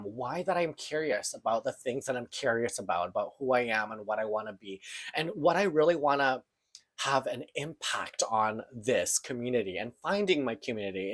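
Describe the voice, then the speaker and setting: A man in his thirties, from the Philippines, speaks in a personal monologue. His voice sits at an ordinary middle pitch, and he talks in an earnest, searching, open way. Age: 30s. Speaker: podcast host.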